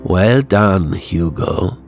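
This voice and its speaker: A man playing a male stage ventriloquist in a horror audiobook, coaxing and commanding in a measured way. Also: deep voice, soft background music. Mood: calling out, commanding.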